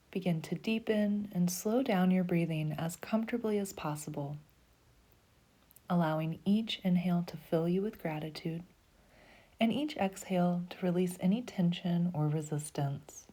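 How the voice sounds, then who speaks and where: Woman, meditation guide, low pitch, mellow warm tone, breathy half-whisper, slow soothing pace. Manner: slow, soothing, even pacing.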